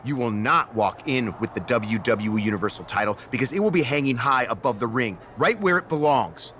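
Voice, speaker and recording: An actor playing a scheming, smug general manager in a wrestling video game, theatrical and sarcastic. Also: male voice, mid-range voice, expressive, brisk, a bit bright, slight background noise, natural voice. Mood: irritated, commanding. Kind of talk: video game cutscene acting.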